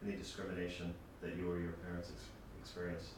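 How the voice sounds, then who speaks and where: Off-camera male interviewer, very low voice, heard from a distance in a very echoey room. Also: monotone, fast. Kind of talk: documentary interview questions. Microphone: away from camera mic. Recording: distant, echoey, noisy camcorder audio.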